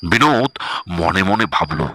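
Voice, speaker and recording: A man narrating a scripted horror story dramatically, measured and suspenseful, with pauses between phrases. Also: low-pitched, lively intonation, pushed and bright.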